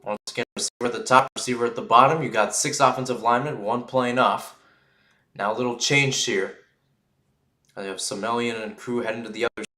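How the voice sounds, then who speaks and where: A man gives live radio-style football commentary in a mid-pitched, sharp and forceful voice. He is excitable on the action and casual between plays. The sound is noticeably roomy and echoey. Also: a little fast. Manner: excitable, calls surging plays loudly then drops back to casual asides. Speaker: play-by-play sports commentator. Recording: roomy echo on the voice.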